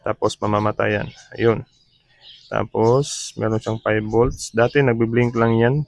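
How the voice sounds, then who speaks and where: A man's voice: on the low side, little pitch movement, measured pace, vocal fry, no echo. A man from the talks through a hands-on repair. He is informal and practical, explaining each step as he goes. English technical terms are mixed into his speech. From Philippines. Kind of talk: casual repair demo vlog.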